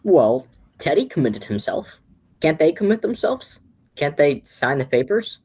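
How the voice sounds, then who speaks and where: A woman playing an excitable, flustered young man in a radio play, with a husky voice and a stammer. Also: deep voice, unhurried, full character voice. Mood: urgent, flustered.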